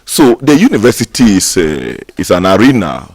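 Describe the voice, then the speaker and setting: Deep-voiced man promoting his university. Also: big pitch swings, a little mellow, slightly rough, dry recording. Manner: emphatic, promotional, halting with fillers. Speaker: university representative guest. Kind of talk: TV interview. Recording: dry studio sound.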